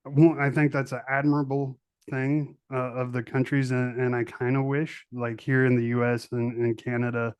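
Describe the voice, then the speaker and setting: Middle aged guy hosting a podcast, normal pitch, dry sound, super enthusiastic, repeats stuff. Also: little pitch movement, moderate pace, few pauses, smooth, no echo. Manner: enthusiastic, emphatic, repeats for stress. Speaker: podcast host. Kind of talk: casual interview chat.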